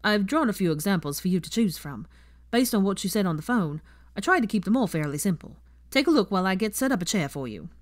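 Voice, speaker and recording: A woman's voice: mid-range voice, very animated, quick pace, no room sound, clean studio sound, close to an everyday voice. Woman as matter-of-fact tattoo shop artist. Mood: businesslike.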